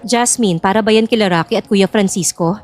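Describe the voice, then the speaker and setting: A woman's voice: medium pitch, a little fast, soft background music, close to an everyday voice. A woman playing a scheming, greedy aunt in an audio drama, informal, teasing and sly. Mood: conspiratorial.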